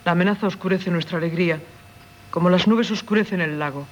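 A woman's voice: fairly low pitch, fast talker, natural voice. An actress playing a melancholy married woman yearning for the sea, dramatic and wistful, in Castilian Spanish radio drama. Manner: dramatic, wistful, theatrical delivery. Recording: dull, noisy old radio broadcast.

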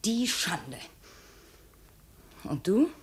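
An actress playing a proud, bitter, jilted princess now enslaved, speaking reproachfully in formal 1950s German radio drama style. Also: female voice, medium pitch, big pitch swings, extremely slow, slightly rough, exaggerated voice. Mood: wounded, accusing. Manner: reproachful, cutting, formal stage diction.

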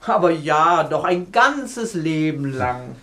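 A woman's voice: low voice, big pitch swings, takes it slowly, a bit bright, heavily acted. A woman playing a chirpy, meddling society lady in a radio satire, effusive, polite and blithely delighted. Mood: delighted, coy. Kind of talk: radio crime satire acting.

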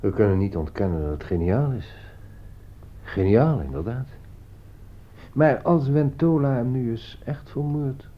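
An actor playing an intrigued, wry writer in a radio play, curious and dryly amused. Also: male voice, mid-range voice, a little slow, long pauses, close to an everyday voice. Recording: dull, muffled old radio play recording.

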